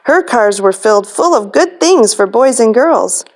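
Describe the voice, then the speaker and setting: Lady librarian doing storytime, highish voice, reading a kids book out loud. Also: animated, a little slow. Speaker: children's librarian, storytime host. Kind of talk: children's storybook read-aloud.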